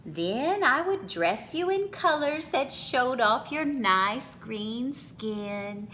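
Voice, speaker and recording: A woman reading a picture book aloud for children in an expressive, theatrical manner with drawn-out phrasing. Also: a little high, a little hiss or hum.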